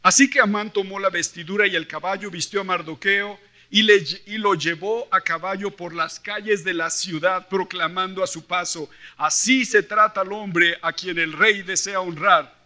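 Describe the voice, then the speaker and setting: A male preacher with a Mexican accent and a high-pitched, very bright, forceful voice. He delivers his sermon like an animated storyteller, acting out dialogue and pressing points with rhetorical questions. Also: normal speed. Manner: emphatic, with rhetorical questions to the listeners. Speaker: preacher at a church convention. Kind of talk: sermon mixing Bible reading and personal stories.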